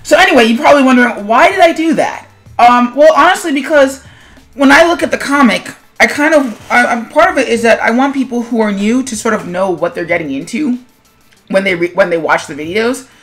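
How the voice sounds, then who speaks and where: A woman's voice: a little high, lively intonation, a little fast, fairly bright tone, faint music behind. A woman talking casually as an animator and video host, in a rambling, self-deprecating, conversational way. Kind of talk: casual commentary vlog on own animation.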